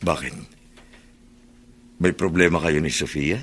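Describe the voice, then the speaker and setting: A man's voice: a bass voice, steady tone, unhurried, fairly bright tone. A man acting in a radio drama as a blunt, chummy drinking buddy, talking colloquially and ribbing his friend, with a Filipino accent. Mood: teasing. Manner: colloquial, ribbing, tag questions. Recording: faint background ambience noise.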